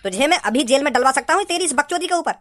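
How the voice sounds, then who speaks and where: Man voicing a shrill, angry cartoon father. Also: animated, racing, over music. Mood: indignant. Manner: indignant, scolding, threatening. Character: angry, stern father scolding his wayward son. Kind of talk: amateur comedy cartoon dubbing.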